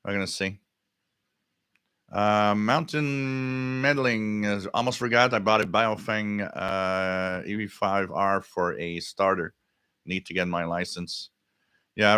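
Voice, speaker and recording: Male livestream host. Slightly low, crisp bright tone, halting off-the-cuff delivery, casual replies to chat. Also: no echo, clean studio sound. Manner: halting, thinking aloud. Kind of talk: casual live stream chat, answering viewer comments.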